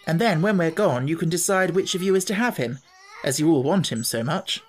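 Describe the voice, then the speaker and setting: Brief: reader doing a level-headed boy, raised pitch, calm and persuasive. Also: fairly quick, breathy edge. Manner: steady, persuasive. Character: clever schoolboy keeping calm.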